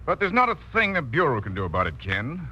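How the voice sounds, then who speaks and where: An actor playing a brisk, wryly official bureau chief in a 1940s radio drama. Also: male voice, on the high side, wildly swooping pitch, pushed and bright, slightly rough, full character voice. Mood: official, concerned. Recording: muffled vintage radio recording.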